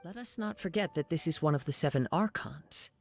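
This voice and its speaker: A young wandering samurai from an anime game, poetic and serene. His voice sits fairly high for a man, with a gravelly creak, and he speaks in calm, courteous, formal phrases over music. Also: a little fast. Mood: solemn.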